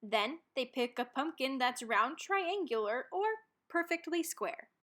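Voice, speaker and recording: A woman's voice: high voice, lively intonation, unhurried, fairly bright tone. A woman reading a rhyming children's picture book aloud, expressively and with dramatic emphasis on key words. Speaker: storybook reader.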